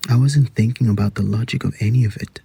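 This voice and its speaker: A man's voice: on the low side, fast, gravelly, warm tone, husky, no room sound, heavily acted. An actor playing a man looking back on a secret teenage adventure in a reflective audio drama monologue. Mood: reflective, hushed.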